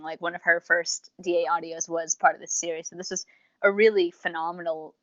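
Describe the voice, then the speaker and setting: A woman's voice: fairly low pitch, a bit bright, no echo, lo-fi sound. A woman co-hosting a review podcast, chatting casually and effusively, rambling off into tangents. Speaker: podcast co-host reviewing audio dramas. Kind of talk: casual unscripted review chat.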